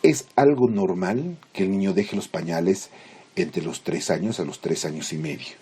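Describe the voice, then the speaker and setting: A man lecturing in Spanish as a teacher, explaining theory informally in halting, didactic phrases with check-in questions. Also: low voice, warm tone, slightly rough. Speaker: psychology lecturer.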